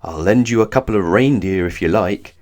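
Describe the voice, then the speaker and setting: A man voicing a jolly, kindly old Father Christmas with a rumbly, chuckling voice in a children's storybook. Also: fairly quick, lightly acted. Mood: jolly, helpful. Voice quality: deep, rumbly. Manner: chuckling, warm. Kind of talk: children's storybook read-aloud.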